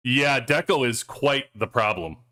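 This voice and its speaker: A man's voice: mid-range voice, expressive, unhurried, sharp tone. A man hosting a livestream in loose, rambling casual chat with joking asides, talking into a cheap headset. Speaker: livestream host. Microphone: cheap headphones headset.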